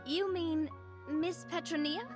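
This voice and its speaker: A woman's voice: very high-pitched, unhurried. A woman voicing a spirited, confident, theatrical girl hero in an anime game, with music behind her. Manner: confident, dramatic, animated. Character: spirited, theatrical girl playing heroic hunter.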